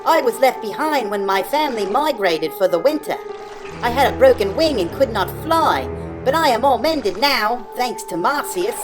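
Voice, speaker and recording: Woman voicing a tiny, high, swooping storybook finch. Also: animated, moderate pace, over music, close to an everyday voice. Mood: friendly, helpful. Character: tiny friendly yellow finch, chatty and polite. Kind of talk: storybook character voice.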